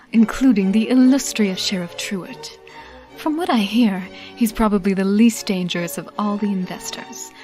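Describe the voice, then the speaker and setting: A woman playing a determined female thief, narrating a game cutscene in a measured, confiding, resolute way. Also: medium pitch, darkish tone, lightly acted. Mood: confiding, briefing. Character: determined female thief narrating her stealth rescue mission. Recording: music under the voice. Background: background music.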